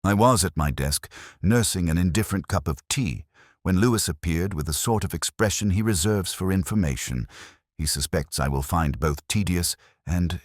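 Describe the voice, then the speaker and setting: A man plays a melancholy, bookish detective telling his own story in radio drama style. His voice sits extremely low but moves expressively, with measured and wistful phrasing. The sound is dry, with very little room on it. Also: no echo. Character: weary, erudite, melancholy male police detective. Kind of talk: first-person literary scripted narration.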